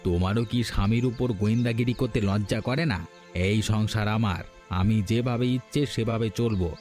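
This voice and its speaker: A man's voice: on the low side, steady tone, brisk, a little mellow, faint music behind, lightly acted. A man voicing a stubborn, domineering shopkeeper husband in a cartoon, blustering and defensive in manner. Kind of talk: cartoon dialogue acting.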